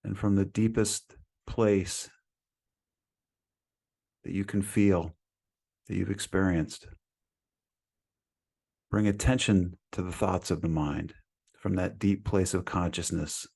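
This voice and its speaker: Slow, low-voiced man guiding meditation. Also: vocal fry, husky. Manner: calm, soothing, unhurried with long pauses. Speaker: meditation teacher. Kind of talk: guided meditation.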